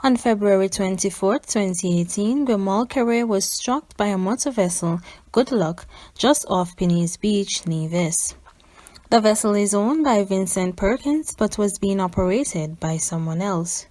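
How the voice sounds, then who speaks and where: A woman reads scripted news. Her voice sits at an average pitch, recorded dry with hardly any room around it. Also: a little slow, a little mellow, no echo. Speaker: news reporter.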